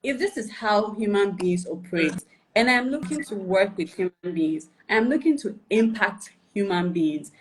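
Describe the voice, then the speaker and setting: A woman teaching personal branding on a live video session. Her voice sits at an ordinary middle pitch, and she talks patiently and methodically. She builds her points through lists and rhetorical questions and keeps checking that the listener follows. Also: animated, normal speed, a little hiss or hum, rough recording. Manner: explanatory, checks for understanding with "right?" and "okay?". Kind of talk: instructional live chat.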